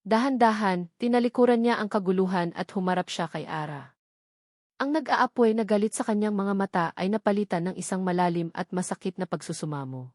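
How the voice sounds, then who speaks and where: A woman's voice: mid-range voice, darkish tone, no room sound, clean studio sound. A woman reading a scripted romance story as a dramatic narrator.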